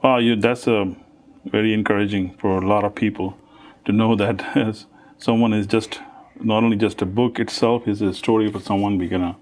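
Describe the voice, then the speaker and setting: A man's voice: on the low side, fairly even intonation, normal speed, a little mellow. A man hosting a talk show, introducing his guest and asking questions with frequent restarts. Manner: hesitant, with repeated restarts.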